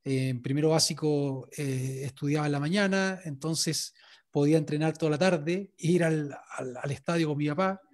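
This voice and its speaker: Guy, kinda high voice, talks easy, lots of "eh"s. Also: normal speed. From Chile. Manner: relaxed storytelling with frequent fillers and restarts. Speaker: sports journalist guest. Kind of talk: interview answers.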